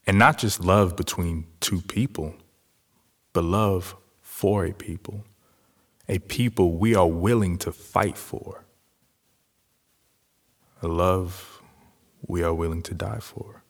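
A slow, low-voiced earnest lover. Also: male voice, steady tone, full character voice. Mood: tender, reflective. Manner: deliberate, poetic phrasing with weighty pauses. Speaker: lead actor in a stage-style audio play. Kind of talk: dramatic spoken-word monologue.

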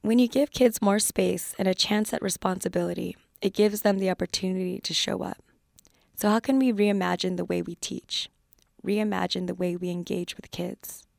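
A woman's voice: medium pitch, steady tone, normal speed, creaky, darkish tone, dry recording, studio quality. A woman hosting a podcast, reading reflective scripted narration and asking open questions. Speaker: podcast host.

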